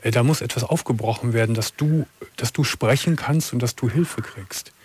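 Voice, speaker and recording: A male late-night radio host with a calm, earnest delivery who often repeats words as he goes. His voice sits at a middling pitch in a dry studio sound. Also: normal speed, husky, no echo.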